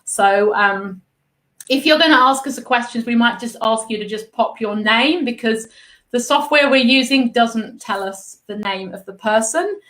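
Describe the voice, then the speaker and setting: A woman's voice: a little high, normal speed, fairly bright tone. An Australian woman hosting a live-streamed interview in a bubbly, upbeat, chatty way. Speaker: host and art business mentor. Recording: echoey room.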